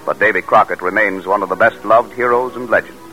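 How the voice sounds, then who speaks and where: A man's voice: fairly low pitch, a little fast, pushed and bright, faint music behind, low-quality recording. An American man reading a scripted 1940s radio preview in a solemn, dramatic manner. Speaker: radio actor presenting next week's program. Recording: vintage radio broadcast.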